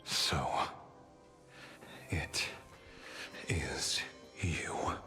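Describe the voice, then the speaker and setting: Very deep, solemn veteran ranger. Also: male voice, over music, full character voice. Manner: solemn, measured storytelling. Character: grave, seasoned male ranger leader in a game. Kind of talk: dramatic game voice acting.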